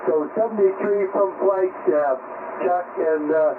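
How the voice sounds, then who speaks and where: An older man chatting casually over amateur radio, telling stories in a halting, rambling way. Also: high-pitched. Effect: radio transmission. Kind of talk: casual two-way radio conversation. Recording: narrow, very noisy, distant shortwave radio signal. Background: loud radio static.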